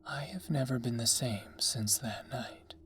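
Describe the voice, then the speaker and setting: A narrator reading a scary story in a hushed ASMR whisper, slow and deliberate. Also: medium pitch, a little hiss or hum. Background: background music.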